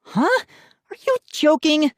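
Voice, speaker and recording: A woman voicing a bratty little sister in a children's scary audiobook, angry and accusing, wailing and shouting. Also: high-pitched, sing-song, slow, no echo, studio quality, pitched above the performer's own voice, exaggerated voice. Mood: bratty, scornful.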